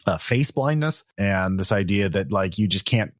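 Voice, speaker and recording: A man with a fairly low voice talks casually as a podcast host reviewing a tabletop game. He thinks aloud, rambling and restarting as he goes. The sound is close and dry. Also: expressive, moderate pace, no room sound.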